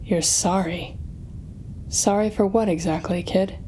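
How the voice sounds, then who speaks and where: A woman's voice: medium pitch, steady tone, unhurried, mellow, a little hiss or hum, lightly acted, low-quality recording. A woman playing a weary, sardonic telepathic supervillain in an audio roleplay, speaking wryly and dramatically straight to one listener. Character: weary sardonic female telepathic supervillain, bitter yet fair.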